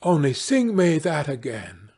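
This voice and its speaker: A man's voice: a little high, sing-song, unhurried, darkish tone, breathy edge, heavily acted. A man voicing an enchanted, eager goldsmith in a fairy tale, pleading as he speaks.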